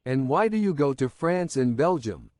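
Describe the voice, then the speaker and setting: A man's voice: medium pitch, big pitch swings, moderate pace, darkish tone, dry recording, clean studio sound, natural voice. Man reading as an insistent, teasing young woman. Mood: pressing. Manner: insistent, pressing questions.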